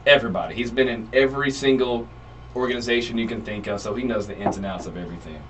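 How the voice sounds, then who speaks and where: Guy cohosting a podcast, excitable, jumps in a lot, kinda far from the mic. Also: mid-range voice, quick pace. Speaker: podcast co-host. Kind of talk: casual chat. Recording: distant mic with faint room noise.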